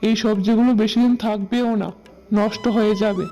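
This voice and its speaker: A woman's voice: mid-range voice, steady tone, fairly quick, warm tone, lightly acted, rough recording. A woman voicing a worried, hardworking male vegetable seller and family man in a cartoon, plaintive and earnest. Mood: dejected. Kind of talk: cartoon dialogue acting. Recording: music bed behind voice.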